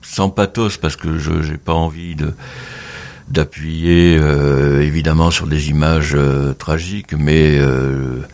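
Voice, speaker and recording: Man, very low voice, slow pace, hesitant with fillers, interview guest. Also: fairly even intonation, a little mellow. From Mediterranean, between Tunisia and Marseille. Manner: halting, many pauses and fillers. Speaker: photographer, guest. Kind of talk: radio interview.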